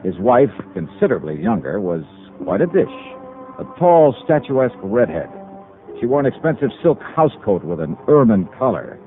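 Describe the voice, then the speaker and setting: A man acting in a 1950s radio drama as a brisk, wry American insurance investigator, clipped and probing in his questions and narration. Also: mid-range voice, big pitch swings, over music. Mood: wry, businesslike. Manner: clipped, probing, hard-boiled. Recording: muffled old radio broadcast recording.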